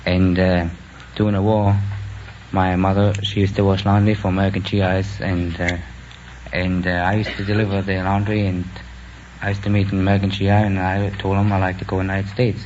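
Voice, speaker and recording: A young man from Germany with a German accent, answering earnestly and haltingly as a quiz contestant. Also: low voice, flat delivery, darkish tone. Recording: dull, noisy vintage radio recording.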